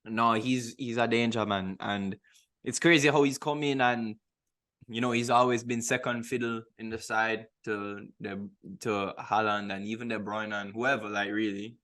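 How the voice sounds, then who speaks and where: A man's voice: fairly low pitch, fairly even intonation, normal speed, a bit bright. A man co-hosting a casual football podcast, talking in a slangy, emphatic way and repeating words for stress. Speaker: podcast co-host.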